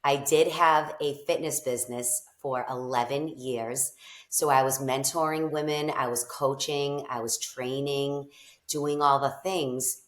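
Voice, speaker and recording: Boston lady guest, deep voice, bubbly and gushing, kinda echoey room. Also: steady tone, a little slow. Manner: bubbly, effusive. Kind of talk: casual interview chat.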